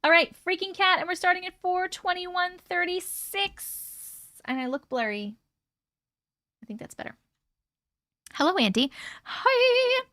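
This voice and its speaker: Bright high-voiced chatty woman host. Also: expressive, measured pace, pauses often, dry recording. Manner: chatty, effusive, talks to chat viewers. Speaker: livestream host reading viewer stories. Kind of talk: casual live chat and reading aloud. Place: at home with her cat.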